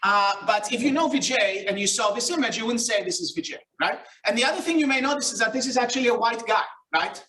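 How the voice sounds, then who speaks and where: A man's voice: extremely high pitch, expressive, quick pace, hardly any pauses, bright, forceful tone. A man with a Greek accent giving a casual conference lecture, with frequent fillers and self-corrections. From Greece. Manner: casual, self-deprecating, many fillers and restarts. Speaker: keynote speaker, researcher. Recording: roomy, distant hall sound.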